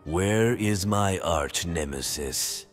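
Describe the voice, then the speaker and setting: Imperious evil boss, audio drama, super slow menace, big echoey hall. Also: male voice, sits low. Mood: menacing. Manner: melodramatic, commanding. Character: menacing, imperious villain overlord. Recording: echoing hall, music behind.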